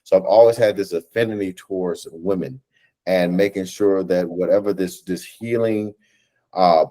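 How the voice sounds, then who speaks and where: Animated American man, low register, unscripted storyteller in a remote interview. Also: moderate pace, rough recording. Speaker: theatre director and writer, interview guest. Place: indoors, on a video call.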